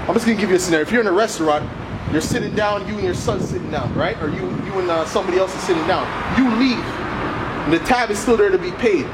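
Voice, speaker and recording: A man's voice: sits high, quick pace. A man preaching on the street in a confrontational, insistent, accusatory way, punctuating his points with repeated tags like "man" and "all right". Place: outdoors on an urban street. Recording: very loud outdoor city traffic noise.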